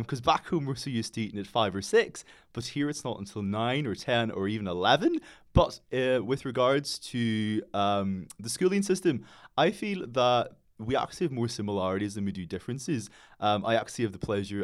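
A man's voice: lowish voice, animated, a little fast. Upbeat young Northern Irish man. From Northern Ireland. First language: English. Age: young adult. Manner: upbeat, chatty and polite. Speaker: radio guest, student teacher. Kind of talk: friendly radio interview.

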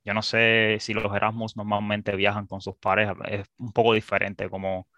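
A man's voice: lowish voice, completely flat delivery, moderate pace, bright, forceful tone, no echo. A man studying abroad, chatting casually and hesitantly, with many restarts and fillers. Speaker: student guest. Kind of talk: casual conversation.

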